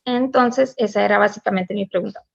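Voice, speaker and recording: A woman's voice: mid-range voice, brisk, gravelly, muffled recording. A woman asking a question on a video call, hesitant and full of fillers. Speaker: audience member.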